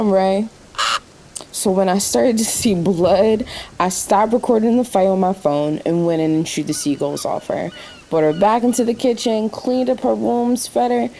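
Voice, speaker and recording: A woman's voice: medium pitch, normal speed, vocal fry, dark tone, a little hiss or hum, close to an everyday voice, poor audio. A woman playing a weary, sassy night-shift waitress in a radio play, wry and talkative. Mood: fond, reflective. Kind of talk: naturalistic radio play acting. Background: rain and thunderstorm ambience in a diner scene.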